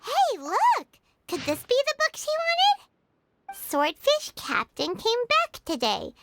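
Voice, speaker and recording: Squeaky, excitable little fairy companion. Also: female voice, slow, slight background noise, full character voice. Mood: curious. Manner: exclamatory, speaks of self in third person. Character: tiny excitable childlike female fairy companion, chirpy and caring. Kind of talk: video game voice acting.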